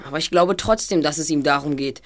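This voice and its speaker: Low-toned, self-assured boy detective, played by a woman. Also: lightly acted. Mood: deliberate, reasoning. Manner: deliberate, explanatory, decisive. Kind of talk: amateur audio drama acting.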